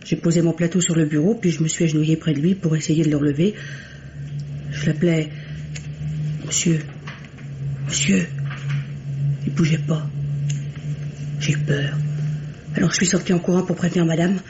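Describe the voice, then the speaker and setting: A woman's voice: deep voice, fairly even intonation, normal speed, long pauses, close to an everyday voice. A woman playing a nervous, deferential household maid, hesitant and simply spoken, in old French radio mystery acting. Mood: frightened. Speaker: radio actor. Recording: muffled, noisy old radio recording.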